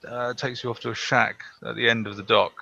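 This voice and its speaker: A man narrating as game master, halting and full of fillers, with a gravelly voice. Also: medium pitch, little pitch movement, sharp tone, lo-fi sound. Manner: halting, many fillers and restarts.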